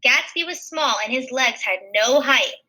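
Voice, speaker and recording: A woman's voice: high voice, normal speed, bright, forceful tone, rough recording. A woman reading a children's picture book aloud expressively, adding simple explanations as a teacher would. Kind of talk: animated children's read-aloud with asides.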